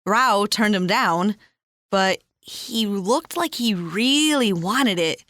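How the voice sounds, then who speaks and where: A woman's voice: mid-range voice, animated, slow pace, a bit bright, dry recording. A woman playing a laid-back traveler confiding in a friend, in a casual, conversational audio drama style. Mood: quietly concerned.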